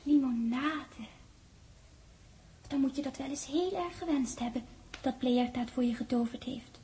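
A little ghost girl of ten in a 1960s radio drama. The voice sits high and soft-toned, with a mellow warmth. She coaxes and teases in a childlike way, ready to reproach. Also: unhurried, slight background noise. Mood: playful. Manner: childlike, teasing, coaxing. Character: ghostly ten-year-old girl, coaxing, playful, reproachful.

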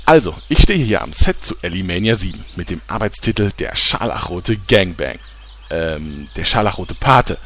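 A man acts out a self-important, slightly sleazy reporter in a parody radio play. The voice sits low and moves animatedly, announcing everything like a scandal and easily getting flustered. Some noisy ambience runs behind it. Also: big pitch swings, normal speed, a bit bright. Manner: dramatic announcer delivery with embarrassed stammers. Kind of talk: mock investigative report in an audio parody.

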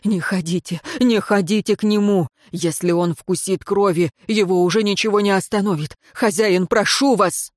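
A woman's voice: on the high side, normal speed, hardly any pauses, a little mellow, no room sound, clean studio sound, pitched above the performer's own voice, lightly acted. A woman voicing a frightened, superstitious male tracker in a fantasy audiobook, urgent and exclamatory. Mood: pleading. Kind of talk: dramatic fantasy audiobook character.

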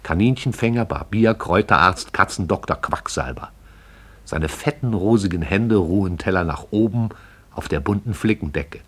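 A deep, husky man narrates a radio play. His delivery is lyrical and measured. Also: lively intonation. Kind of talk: poetic radio drama narration.

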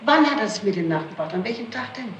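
A woman's voice: a little high, animated, fast talker, lo-fi sound. A woman being questioned as a suspect, answering defensively and insistently and repeating herself. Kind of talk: police interrogation answers. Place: echoey room, archival interrogation tape. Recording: noisy, roomy archival 1960s tape recording.

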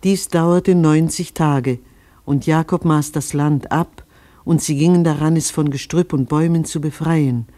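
An actor playing a solemn chronicler who recites a family history like scripture in a radio play. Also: male voice, a little high, steady tone, unhurried, dark tone, natural voice. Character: solemn biblical-style chronicler of a settler family.

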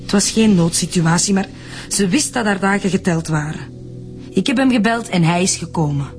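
A woman's voice: medium pitch, moderate pace, soft background music, lightly acted. An actress playing a defensive, defiant woman murder suspect in a radio drama, curt and indignant, with a Flemish accent. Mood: guarded, defensive.

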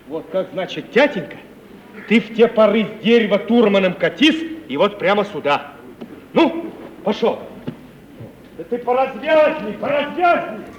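An actor playing an anxious, lovesick young merchant's son with folksy, plaintive, grumbling speech in an old radio play. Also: male voice, high-pitched, very animated, a little slow. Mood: bossy, urgent. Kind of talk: 1950 Russian radio play acting. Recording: muffled, hissy 1950s radio recording.

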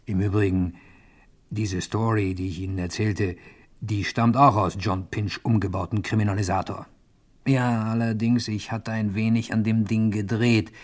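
A man's voice: lowish voice, fairly even intonation, normal speed, lightly acted. An actor playing a sly, chummy male crook who narrates his tale confidentially in a 1950s German radio comedy. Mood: wry, confiding. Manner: confidential, wry, conversational.

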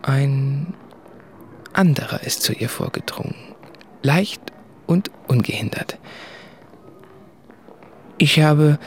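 An actor playing an anguished, introspective writer in a literary radio drama, confessing in a halting, brooding way. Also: male voice, a little high, creaky, a little hiss or hum. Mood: resigned, exhausted. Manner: slow, halting, brooding, with deliberate pauses.

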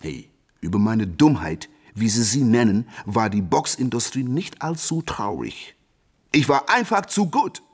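An actor playing a defiant, cocky American boxing champion in a German radio play, boastful and emphatic. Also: male voice, medium pitch, very animated, a little slow, slightly rough. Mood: boastful, bitter. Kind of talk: German radio play acting, interview monologue.

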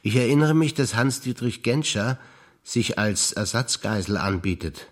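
A male stage actor reciting personal memories in a measured theatre performance, over music. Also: mid-range voice, lively intonation. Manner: measured, alternating lines of remembrance.

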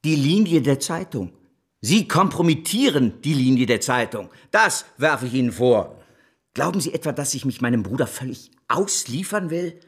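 Husky, imperious newspaper boss. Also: male voice, medium pitch, expressive, moderate pace. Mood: indignant. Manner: imperious, declamatory. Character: irascible, domineering male newspaper boss and political schemer. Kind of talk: German radio drama acting.

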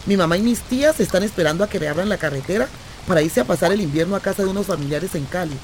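Actress as adventurous Colombian woman, restless, affectionate, playful storyteller, radio drama, clear traffic noise behind. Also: fairly low pitch, quick pace, darkish tone, lightly acted. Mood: chatty, teasing.

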